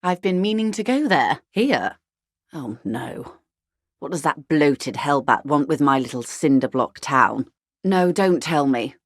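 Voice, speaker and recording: A wealthy middle-aged woman negotiator for a video game. Her voice is low and very closely recorded, with no room around it. She speaks in measured, refined phrases, world-weary and dryly ironic. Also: very animated, no room sound, studio quality, heavily acted. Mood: sardonic. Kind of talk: scripted game dialogue voice acting.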